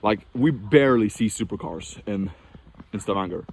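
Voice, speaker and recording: A man's voice: on the low side, normal speed, a little mellow, slight background noise. A young man from Norway talking casually to camera in a vlog, rambling and chatty, with frequent restarts and fillers. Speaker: vlogger.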